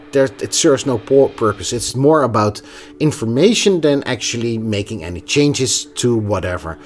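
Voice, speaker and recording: A man presenting a product review in a conversational, emphatic way, occasionally stumbling over a word. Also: mid-range voice. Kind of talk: scripted product review with casual asides.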